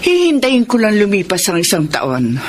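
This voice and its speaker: A woman playing a defiant middle-aged widowed mother, insistent and forceful, in Filipino radio drama. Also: mid-range voice, huge pitch swings, moderate pace, slight background noise.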